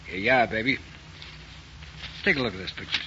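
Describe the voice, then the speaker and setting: An American actor playing a slangy, coaxing racketeer boss in a 1940s radio crime drama, speaking a little haltingly. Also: male voice, on the low side, pushed and bright. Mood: coaxing, upbeat. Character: smooth racketeer boss eager to go straight. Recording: dull, noisy 1940s radio recording.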